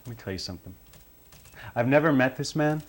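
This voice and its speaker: Male actor as evasive male murder suspect, mid-pitched, halting, defiant, naturalistic film delivery. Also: lively intonation, lightly acted. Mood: doubting, insistent. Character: defensive, evasive murdered man's son under interrogation. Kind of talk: naturalistic film drama acting.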